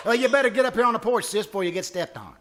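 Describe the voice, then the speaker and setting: A man playing a boastful, folksy, excitable husband in old-time radio comedy, blustering and hesitating. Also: high voice, very fast, noisy background, lightly acted. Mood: proud, friendly. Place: live before an audience at a winery.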